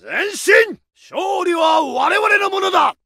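An actor playing a fierce Japanese infantry soldier, barking aggressive combat orders in a game. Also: male voice, extremely high pitch, expressive, slow pace, creaky, bright, forceful tone, no echo, shouting, clean studio sound, some chatter behind, heavily acted. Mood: shouting orders. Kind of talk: shouted combat barks.

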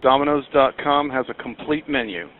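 A man's voice: medium pitch, a little fast. Man on a dull phone line. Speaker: pizza shop employee taking calls. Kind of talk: brief customer service talk.

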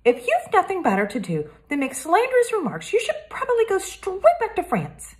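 A woman voicing a snobbish, haughty young girl who talks loudly, slowly and disdainfully. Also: very high voice, wildly swooping pitch, voice pushed up, full character voice. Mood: indignant. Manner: loud, slow and disdainful.

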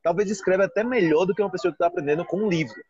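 A man's voice: high voice, very fast, darkish tone, breathy edge, no echo, dull audio. A Brazilian man in his thirties, a Portuguese teacher, chatting casually and animatedly on a live video call from a restaurant, often asking "entendeu?". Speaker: Portuguese teacher and channel host. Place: restaurant, indoors. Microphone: phone held close, front camera.

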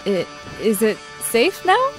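An excitable young woman, played by an actress in an audio drama. Her voice is fairly high and very lively, a bit nervous and hesitant, with faint music behind. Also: slow pace, full character voice, poor audio. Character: excitable, slightly nervous young female adventurer.

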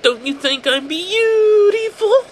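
A man voicing a deranged young male killer who cut himself a permanent smile, talking in a shrill, singsong, unhinged way. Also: expressive, slow pace, a little hiss or hum, heavily acted. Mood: angry.

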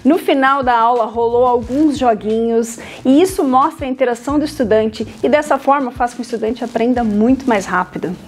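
A woman's voice: on the high side, a little fast, few pauses, husky. A Brazilian-accented woman in her thirties presenting an upbeat vlog on a lapel mic. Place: indoor classrooms and corridors of a school.

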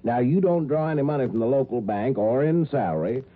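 An actor playing a wry, world-weary small-town police chief in a 1950s radio drama. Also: male voice, medium pitch, animated, brisk, natural voice. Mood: wry, matter-of-fact. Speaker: police chief narrator and character. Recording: tinny 1950s radio, music behind.

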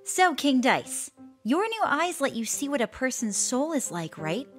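Woman as a high-voiced curious questioner. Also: sing-song, unhurried, soft background music. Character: curious fan asking the characters questions. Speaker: question asker. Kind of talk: reading questions in a comic dub.

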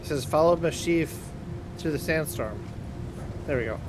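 A man's voice: on the high side, moderate pace, background noise. A man in casual chat with friends during video game play.

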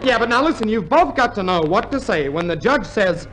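A high-voiced, silly little lawyer. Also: male voice, animated, fairly quick, fairly bright tone, a faint crowd, rough recording. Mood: officious. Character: silly, bossy little lawyer fond of bad puns. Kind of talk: 1950s radio comedy acting. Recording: 1950s radio recording, faint hiss.

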